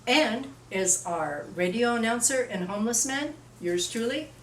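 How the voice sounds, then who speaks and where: A woman's voice: fairly high pitch, lively intonation, unhurried, fairly bright tone. A woman co-writer introducing the cast of a script reading. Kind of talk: event introduction. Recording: distant echoey room, faint noise.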